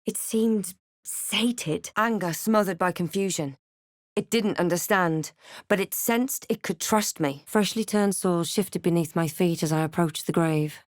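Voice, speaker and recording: A woman playing a wry, watchful adventurer in a fantasy video game, delivering measured, understated dramatic narration and short remarks. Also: lowish voice, dry recording, studio quality, natural voice. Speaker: player-character voice actor.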